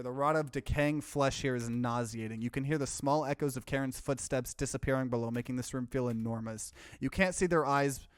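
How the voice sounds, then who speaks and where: A man's voice: mid-range voice, clear, resonant tone, no echo, clean studio sound. A man doing casual let's play commentary, reading game text aloud and reacting to it as a streamer.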